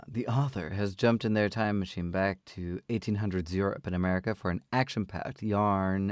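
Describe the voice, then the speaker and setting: A man's voice: lowish voice, a little mellow, dry recording, studio quality. A man hosting a book reading show, introducing books and reading blurbs with some theatrical flourish.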